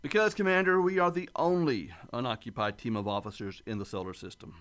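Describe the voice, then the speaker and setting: A man playing a steady starship captain in a sci-fi radio play, speaking with formal military authority. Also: medium pitch, brisk, lightly acted. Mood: wry.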